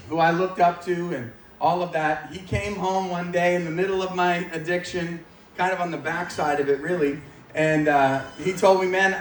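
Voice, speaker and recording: An echoey, slightly distant recording of an American man in his forties preaching his own story. His voice sits a little high for a man. He talks informally and pokes fun at himself as he goes, with faint noise underneath. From Maine, United States. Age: 40s. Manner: informal, self-deprecating, joking. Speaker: guest speaker giving testimony at church. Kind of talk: personal testimony preached to a live audience. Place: large echoey indoor room, speaking to a gathering.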